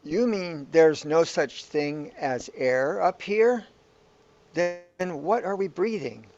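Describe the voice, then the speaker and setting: Befuddled radio-play hero played by a man, puzzled and questioning, very lively pitch, muffled lo-fi recording. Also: slow. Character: befuddled bornless hero, questioning his unreal surroundings. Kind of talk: amateur radio play acting. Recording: dull lo-fi audio.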